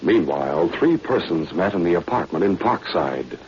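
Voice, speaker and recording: A man's voice: sits low, animated, moderate pace, darkish tone. A man narrating a spy adventure in scripted, solemn 1940s radio style. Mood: dramatic, measured. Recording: dull, faintly noisy vintage radio recording.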